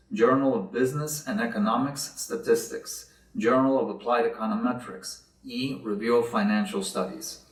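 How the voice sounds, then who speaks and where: A man formally reading out a speaker's introduction at an academic event, in a steady, even reading. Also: mid-range voice. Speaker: event presenter. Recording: echoey room, distant mic.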